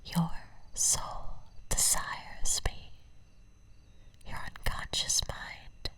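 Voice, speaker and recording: A woman playing an obsessive, lovesick stalker in an ASMR roleplay, whispering breathily in slow, deliberate, coaxing phrases. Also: pushed and bright, no room sound. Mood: pleading, intimate.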